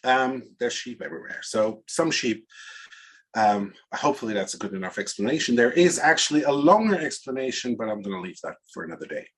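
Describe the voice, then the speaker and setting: A middle-aged man from Switzerland with a Swiss accent, presenting informally as a guest speaker in a halting, reflective manner. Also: medium pitch, expressive, a bit bright, husky, poor audio. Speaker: agile coach and guest speaker. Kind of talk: informal conference-style presentation.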